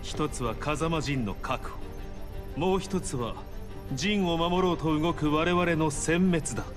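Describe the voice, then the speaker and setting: A male actor playing a serious resistance fighter in a video game, speaking in a measured, formal, grave way. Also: fairly high pitch. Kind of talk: dramatic game cutscene acting. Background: music.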